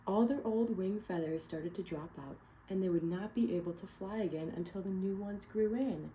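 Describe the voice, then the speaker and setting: Woman teacher, picture-book read-aloud, encouraging, asks the class questions; mellow low tone. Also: moderate pace, slight background noise, rough recording. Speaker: teacher reading to children.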